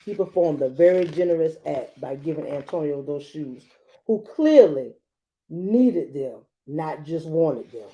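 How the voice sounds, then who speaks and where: A woman reading a storybook aloud like a teacher, adding expressive explanations and checking in with her listeners. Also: low voice, a little slow, dull audio. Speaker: children's book read-aloud teacher.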